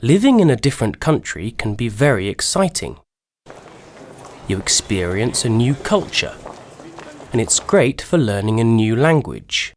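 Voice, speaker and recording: A man giving scripted narration for a language-learning documentary, with a lively delivery. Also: mid-range voice, unhurried, a little hiss or hum. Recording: narration over clear music.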